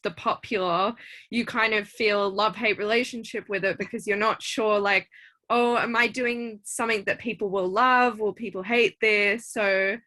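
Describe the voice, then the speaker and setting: A woman with a bright, clear-edged voice interviews a guest in easy conversation. She talks in a chatty, eager way, filling her questions with "like" and warm encouragement. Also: mid-range voice, fairly even intonation, normal speed. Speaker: interviewer, show host. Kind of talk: casual interview chat.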